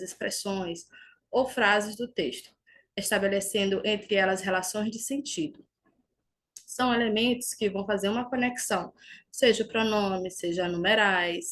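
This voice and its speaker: A woman's voice: medium pitch, fairly bright tone. Woman teaching a grammar lesson. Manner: didactic, explains slowly with small restarts. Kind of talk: recorded online grammar lesson over slides.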